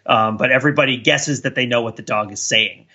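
A man's voice: mid-range voice, fairly quick, bright, forceful tone, dull audio. A man co-hosting a podcast in casual chat, rambling and thinking aloud as he riffs. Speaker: podcast co-host.

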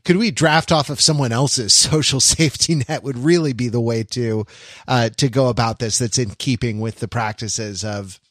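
A man's voice: mid-range voice, normal speed, fairly bright tone, dry recording, clean studio sound. A man co-hosting a podcast, chatting casually with a wry, sardonic manner. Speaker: podcast co-host. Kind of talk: casual conversational commentary.

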